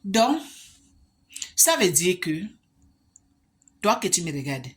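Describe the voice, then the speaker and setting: A woman's voice: on the low side, very animated, slow. A woman from Africa, talking straight to the camera as a vlogger and putting emphatic rhetorical questions to the viewer. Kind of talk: monologue to the camera.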